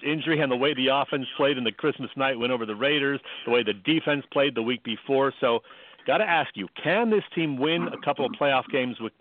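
A man's voice: mid-range voice, phone-quality sound. A man co-hosting a sports radio show in a conversational style, setting up questions for a guest.

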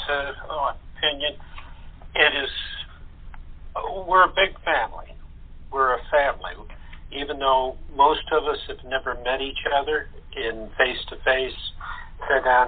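A man chatting in a halting, deliberate way over a tinny phone connection. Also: medium pitch, husky. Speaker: voice actor in an audio theatre group. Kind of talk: casual chat. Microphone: phone or internet call. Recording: narrow, tinny phone line.

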